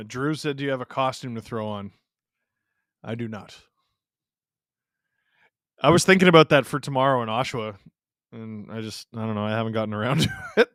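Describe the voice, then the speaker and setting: A man's voice: medium pitch, normal speed, pauses often, a bit bright, no room sound, clean studio sound. A man in his thirties from Canada hosting a live hockey watch-along, joking with chat and reacting to plays. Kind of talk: casual live-stream commentary.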